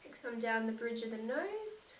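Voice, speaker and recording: A young woman with a slightly croaky voice from a cold, narrating a makeup tutorial and gushing softly about the products. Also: fairly high pitch, big pitch swings, moderate pace, far from the mic, a little hiss or hum. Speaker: beauty vlogger. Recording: echoey room, set back from mic.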